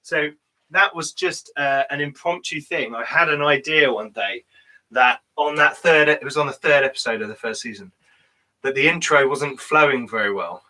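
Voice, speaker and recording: A man co-hosting a live tabletop game discussion show, talking with eager enthusiasm, laughing often and quick to agree. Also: medium pitch, bright, forceful tone, poor audio. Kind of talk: casual live-stream chat.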